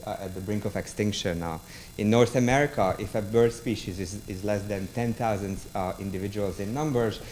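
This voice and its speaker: A man's voice: lowish voice, darkish tone, slight background noise, poor audio. A man with a Hungarian accent, a university lecturer giving a public talk about a book, hesitant and full of ums and restarts. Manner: halting, many ums and restarts.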